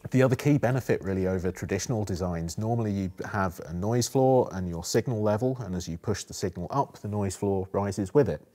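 A man with a deep, dark and relaxed voice talks as an engineer presenting his product. He explains technical details step by step in an interview setting. His delivery shows real enthusiasm for the engineering. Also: a little fast, few pauses.

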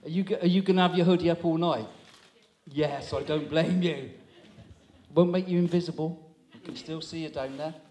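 A man's voice: on the high side, fairly even intonation, moderate pace, dark tone, a little hiss or hum. A man in his sixties working as compere, teasing the audience in a chatty stand-up crowd-work style on a small town hall stage. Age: 60s. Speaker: comedy compere. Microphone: handheld microphone.

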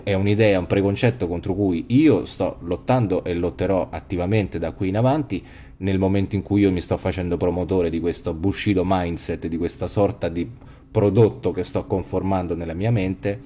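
A man in his forties talks through a headset from home. His voice is very low and mellow, and he thinks aloud, circling back and restarting his phrases. A faint hiss sits under the call. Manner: reflective, restarts often, trails off. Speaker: podcast host. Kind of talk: casual philosophical chat. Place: home room, video call.

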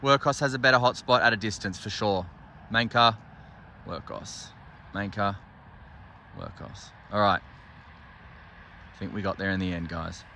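Guy, 30s, bright forceful voice, chatty gear reviewer at his desk. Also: on the low side, fairly even intonation, unhurried, pauses often, slight background noise. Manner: chatty and enthusiastic, thinking aloud. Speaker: flashlight reviewer. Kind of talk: casual product review. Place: workshop desk indoors, then outdoors at night.

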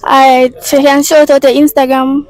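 A young Jamaican woman doing casual street interviews outdoors with a handheld mic, upbeat and quick to laugh. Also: high voice, flat delivery, darkish tone, no echo, poor audio. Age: young adult. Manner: upbeat, laughing, patois-mixed questions.

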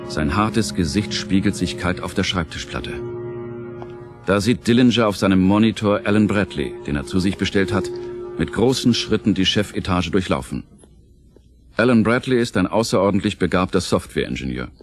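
Male radio play narrator: lowish pitch, suspenseful and theatrical scripted delivery, clear music underneath. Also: expressive, normal speed.

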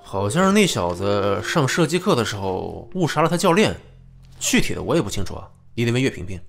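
A man's voice: fairly low pitch, very animated, fairly quick, gravelly, faint music behind, full character voice. A man playing an easygoing, bantering police colleague in a conversational audio drama. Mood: casual, curious. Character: easygoing male police colleague, teasing and slightly evasive.

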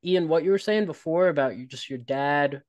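A man's voice: fairly high pitch, moderate pace, a little mellow, no echo. A man hosting a casual podcast who asks his questions hesitantly and stops to rephrase them. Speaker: podcast host asking questions.

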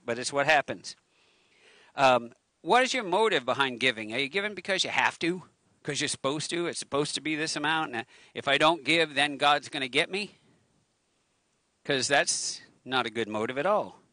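Bright-voiced pastor joking through an outdoor sermon. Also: male voice, medium pitch, animated, moderate pace, no echo. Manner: joking, conversational, with self-mocking asides. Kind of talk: casual sermon. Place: outdoors by a waterfront on a lawn. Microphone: handheld microphone at a lectern.

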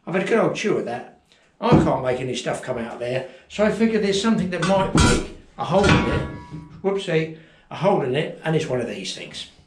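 A man's voice: fairly high pitch, fairly quick, far from the mic, faint music behind. Jokey older man chatting in a roomy cabin. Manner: jokey and animated, chuckling asides. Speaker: fishing channel host. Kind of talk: casual chatty vlog. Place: garden cabin indoors, partly outdoors in the garden.

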